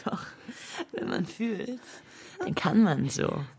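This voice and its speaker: A woman's voice: medium pitch, big pitch swings, takes it slowly, darkish tone, husky, heavily acted. An actress in a radio play voicing an enraged, desperate woman who threatens and commands with biting sarcasm. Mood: intense, anguished. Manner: menacing, sarcastic, commanding, unhinged. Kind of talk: radio drama acting.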